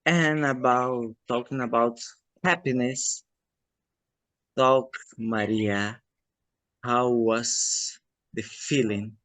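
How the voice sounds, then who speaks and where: A man interviewing an author, speaking slowly and earnestly and addressing the viewers directly. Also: mid-range voice, fairly bright tone, no room sound, low-quality recording. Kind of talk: interview questions.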